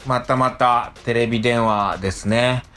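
A man hosting a casual podcast chat, explaining things in a hesitant, halting way with lots of softeners. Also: mid-range voice, fairly even intonation, soft background music. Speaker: radio show host.

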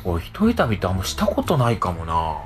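A man co-hosting a radio show, chatting casually and colloquially, quick to agree and react. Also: deep voice, huge pitch swings, faint music behind.

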